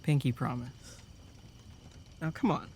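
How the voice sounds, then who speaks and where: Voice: medium pitch, unhurried, darkish tone, a little hiss or hum, lightly acted. An actor playing an earnest, protective young male camp counselor with a hesitant, reassuring way of talking in an audio drama. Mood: shy.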